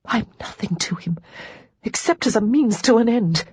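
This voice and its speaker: A woman narrator voicing Lara, a young widow who is ashamed, despairing and crying, in a husky voice that keeps dropping to a whisper. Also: mid-range voice, normal speed, darkish tone, no echo, pitched above the performer's own voice. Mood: despairing, tearful. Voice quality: husky, tearful, often whispering.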